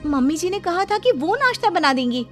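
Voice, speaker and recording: Woman acting a plaintive, high-voiced young daughter-in-law. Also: very animated, a little fast. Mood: worried. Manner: plaintive, complaining. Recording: music under the voice.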